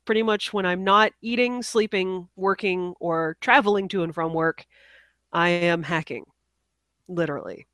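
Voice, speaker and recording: A woman's voice: on the low side, a bit bright, no echo, clean studio sound. A woman co-hosting a casual podcast, her voice congested from a cold, apologetic and laughing at herself. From United States. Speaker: podcast co-host. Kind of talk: casual chat.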